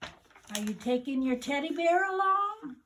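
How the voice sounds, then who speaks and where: An older woman puts on a needling storybook sister with a pointed, taunting way of talking. The voice is raised and high, and each jab comes out slowly. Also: big pitch swings, fairly bright tone, a little hiss or hum, full character voice. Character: teasing, needling sister of a small boy.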